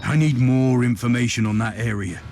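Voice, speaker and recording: A man playing a resolute, measured and formal inquisitor in a dark sci-fi video game. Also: mid-range voice, music in the background, lightly acted. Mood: grim, measured. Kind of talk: video game cutscene voice acting.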